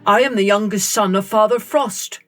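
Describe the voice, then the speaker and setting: A woman's voice: medium pitch, moderate pace, faint music behind, lightly acted. A woman voicing the sons of a frost spirit, courteous and formal travelling men in a storybook tale. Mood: matter-of-fact. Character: Father Frost's travelling sons, polite wintry male strangers.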